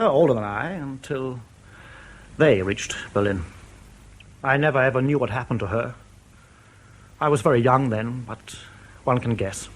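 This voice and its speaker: A man's voice: mid-range voice, lightly acted. An actor in a radio drama playing an anxious, proud East German official in his thirties who has defected, speaking formally and carefully. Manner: formal, careful, precise diction with hesitant pauses.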